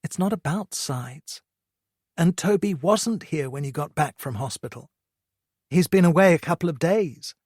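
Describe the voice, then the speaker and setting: A reader voicing a soft, pleading worried mother. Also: sits low, expressive, measured pace, slightly rough, dry recording, clean studio sound, voice pushed up, heavily acted. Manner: quiet, gentle, coaxing.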